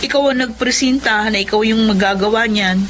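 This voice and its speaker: A woman acts out a bossy young working woman who nags like an older sister. Her voice is high. This is radio drama with clear background noise and ambience. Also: a little fast, natural voice. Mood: demanding. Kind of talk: Filipino radio drama acting.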